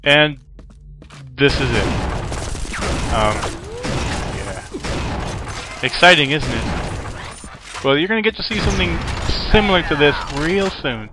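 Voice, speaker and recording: A man's voice: on the high side, measured pace, long pauses, noisy background. A man giving casual let's play commentary, playful and sarcastic, often in short muttered asides. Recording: game gunfire and ambience behind voice. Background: video game sound effects.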